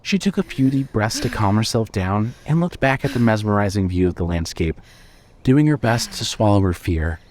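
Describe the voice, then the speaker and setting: A man narrating a scripted story in a measured, suspenseful way. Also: fairly low pitch, expressive, darkish tone.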